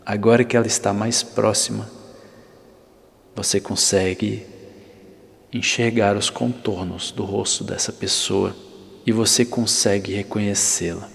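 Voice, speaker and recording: A man with a Brazilian accent narrating an ASMR guided visualization in a soothing, deliberate manner with long pauses. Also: lowish voice, steady tone, creaky. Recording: long reverb, faint hiss and ambience.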